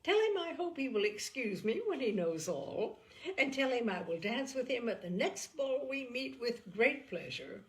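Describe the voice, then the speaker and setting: A woman's voice: on the high side, big pitch swings, normal speed. A woman voicing a giddy, boastful, thoughtless young girl, playful and exclaiming, in a storybook reading. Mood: giddy, gleeful.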